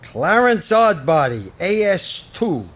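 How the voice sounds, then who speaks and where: A quaint, eager old-fashioned angel. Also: male voice, sits high, sing-song, slow, a bit bright, heavily acted. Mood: gentle. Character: gentle, fussy, old-fashioned male angel. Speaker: radio actor. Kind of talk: 1940s radio acting.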